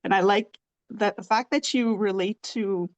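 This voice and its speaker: A woman hosting an interview, her voice in a middling pitch. She asks long, meandering questions, restarting herself and laughing softly here and there. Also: normal speed, lo-fi sound. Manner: rambling, restarts, soft laughs. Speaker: podcast host. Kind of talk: casual interview conversation.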